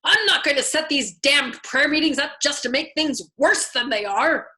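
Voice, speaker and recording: Storybook colonel, male, voiced by a woman: vindictive, blustery, easily affronted, bright forceful delivery with big swings. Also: sits high, animated, moderate pace, voice pushed up. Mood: indignant bluster. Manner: blustering, indignant. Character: pompous, vindictive, insecure military colonel, male.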